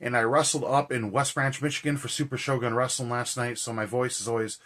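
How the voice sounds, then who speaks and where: A man with a hoarse, raspy, tired voice, hosting a vlog in a casual, rambling, slangy way. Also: mid-range voice, level tone, a little fast, fairly bright tone. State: hoarse and tired after a cold and shouting. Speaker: horror movie reviewer and vlog host. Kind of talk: casual chat to camera.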